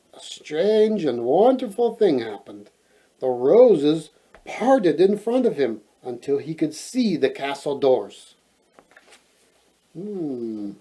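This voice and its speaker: A man reading a children's fairy tale aloud in a playful, expressive way, adding joking asides. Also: on the high side, rough recording. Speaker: storyteller, host.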